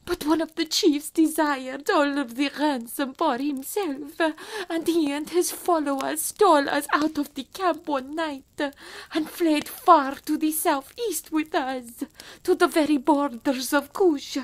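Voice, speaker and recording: A man reading an audiobook voices a frightened young noblewoman captive, pleading in a hushed, breathless falsetto. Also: measured pace.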